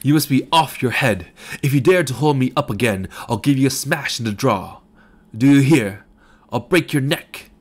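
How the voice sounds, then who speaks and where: A man's voice: medium pitch, lively intonation, moderate pace, pitched above the performer's own voice, lightly acted. A man reading a storybook, voicing a brash young cyclist who speaks in a swelling, threatening voice.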